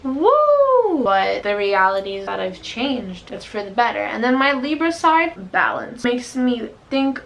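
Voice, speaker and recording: A woman's voice: a little high, sing-song, measured pace, gravelly, hardly any pauses, fairly bright tone, slight background noise. A young woman from the United States talking casually and frankly to the camera, swearing often. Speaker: vlogger.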